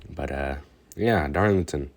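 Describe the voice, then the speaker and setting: Very deep-voiced congested man rambling. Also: a little slow. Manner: rambling, hesitant, many fillers and restarts. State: congested, stuffed up. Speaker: racing commentator. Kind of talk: casual race recap.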